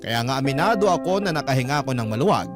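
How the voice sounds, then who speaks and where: A man's voice: mid-range voice, expressive, racing, music in the background. A man with a Filipino accent reading a first-person story as a radio storyteller, in dramatic scripted narration.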